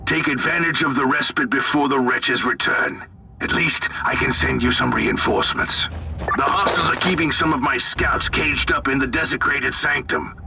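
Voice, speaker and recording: An actor playing a gruff, gravelly military commander in a sci-fi video game, speaking in a brisk, sardonic, commanding manner. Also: male voice, mid-range voice, recorded from a distance, noisy background, lightly acted. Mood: urgent, commanding. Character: battle-hungry gruff male commander of sci-fi soldiers. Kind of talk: dramatic video game voice acting.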